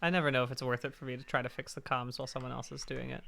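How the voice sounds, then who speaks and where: Male host, hoarse and raspy, bright tone, rambling gamer chat. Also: flat delivery, very fast, a little hiss or hum. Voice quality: deeper raspy register. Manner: rambling, self-interrupting. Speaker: stream host. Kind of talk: casual gaming chat on a charity stream.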